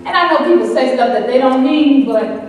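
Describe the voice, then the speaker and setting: A woman's voice: sits high, brisk, lightly acted. A middle-aged woman playing a weary, fed-up wife and mother in a stage play, emphatic, recorded in an echoey hall. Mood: fed up, indignant. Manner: emphatic, conversational venting. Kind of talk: stage drama acting. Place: indoor theatre stage with living-room set. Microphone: far camera. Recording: echoey theatre hall, far camera.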